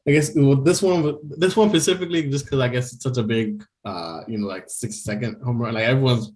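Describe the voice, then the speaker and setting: A man's voice: medium pitch, fast talker, a little mellow. A young man co-hosting a podcast in casual chat, talking loosely with lots of laughter and fillers. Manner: chatty, laughs often, many fillers. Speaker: podcast co-host.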